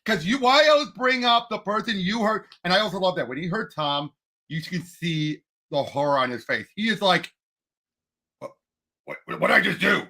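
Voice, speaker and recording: Excitable guy on a movie podcast, gravelly edge, really swingy pitch, acts out quotes. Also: normal speed. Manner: excitable, animated retelling. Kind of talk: casual movie discussion, acting out quotes.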